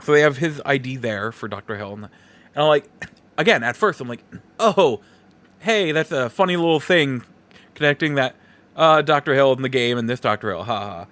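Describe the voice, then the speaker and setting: A man's voice: mid-range voice, lively intonation, normal speed, fairly bright tone. A man hosting a podcast, talking through a casual, rambling review in a conversational way. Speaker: podcast host. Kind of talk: casual movie review monologue.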